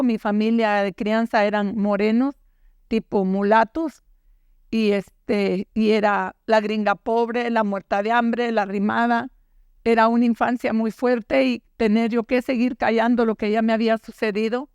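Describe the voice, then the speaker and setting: An older woman from Guatemala, recounting her own life story in a quiet, measured, halting way. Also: fairly high pitch, smooth, no room sound, clean studio sound. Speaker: guest survivor. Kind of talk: personal testimony.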